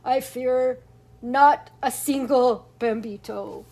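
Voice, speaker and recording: Little male kitten hero, played by a woman reader: high-pitched, theatrical, excitable mock-Spanish bravado for a storybook. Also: slow, exaggerated voice. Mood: meek bravado. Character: small male cat playing excitable Chihuahua sword-fighter hero.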